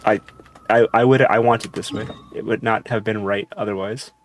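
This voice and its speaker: Creaky-voiced man commentating on a game. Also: lowish voice, steady tone, moderate pace. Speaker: gaming streamer. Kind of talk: casual gameplay commentary. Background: game music.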